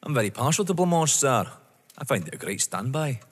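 A man acting in an audio drama as a politely persistent police inspector, formal and probing, with a husky voice. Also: medium pitch, very animated, fairly quick. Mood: patient, probing. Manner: formal, deferential but probing. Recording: echoey hall-like reverb.

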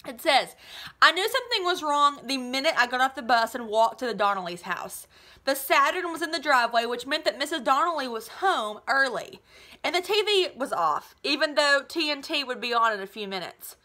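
A woman's voice: sits high, pushed and bright. A woman in her thirties with a North American accent, reading a children's novel aloud expressively, like a teacher to students. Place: small living room at home, indoors.

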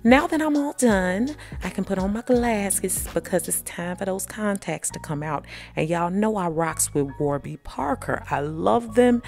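A woman's voice: mid-range voice, animated, unhurried, vocal fry, hardly any pauses, darkish tone, faint music behind. A woman, chatting to viewers in voice-over about her self-care routine. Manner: chatty, addresses viewers as y'all. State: pregnant. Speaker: beauty vlogger.

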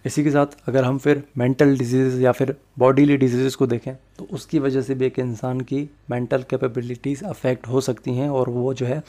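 A man's voice: mid-range voice, mellow. A man with a Pakistani Urdu accent giving a slide lecture on evidence law, mixing in English legal terms as he explains step by step.

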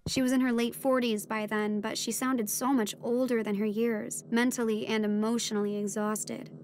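A woman playing a teenage daughter, telling her adventure in measured first-person narration for an audio drama. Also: on the high side, flat delivery, a little mellow, slight background noise, natural voice. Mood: reflective. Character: teenage daughter recounting a deep-sea adventure. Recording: music behind the voice.

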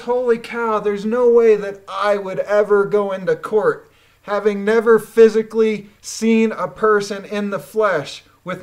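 A woman reading documents aloud and commenting on them in a blunt, emphatic, indignant manner. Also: mid-range voice. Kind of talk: reading a court transcript aloud with critical commentary.

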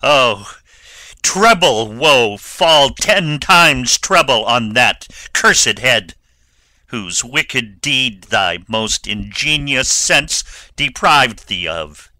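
An actor playing a bitter, hot-headed young nobleman in mourning, declaiming forcefully in a Shakespeare audiobook drama. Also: medium pitch, animated, drawn-out words, dry recording, full character voice. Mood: anguished, furious.

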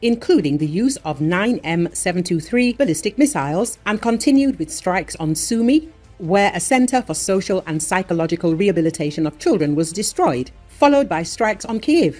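A woman reading scripted news in a measured, formal voice-over. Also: lowish voice, lively intonation, darkish tone, faint music behind. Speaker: news narrator.

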